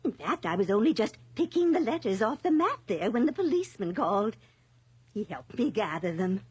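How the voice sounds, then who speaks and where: A woman's voice: medium pitch, very animated, fairly quick, darkish tone, husky. An actress playing a sweet, chatty, forgetful elderly village lady in a radio drama, rambling cheerfully. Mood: wistful, cheerful. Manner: rambling, fluttery, cheerful hostess.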